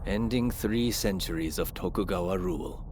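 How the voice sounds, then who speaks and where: Man acting grave narrator, deep voice, scripted historical narration, game cutscene, noisy ambience behind. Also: moderate pace, natural voice. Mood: solemn.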